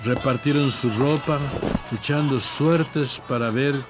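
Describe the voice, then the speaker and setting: A man's voice: mid-range voice, takes it slowly. A man reading scripted, dramatized Bible narration. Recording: music bed behind narration.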